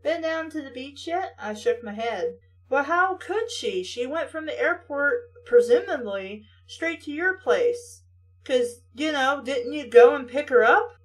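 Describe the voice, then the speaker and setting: A high-pitched female voice, recorded at some distance from the mic. She reads a story aloud and keeps stepping out of it with mocking, questioning commentary. Her delivery is that of a sarcastic host talking back to the text. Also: expressive, a little slow. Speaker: host reading stories aloud. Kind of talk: story reading with mocking asides.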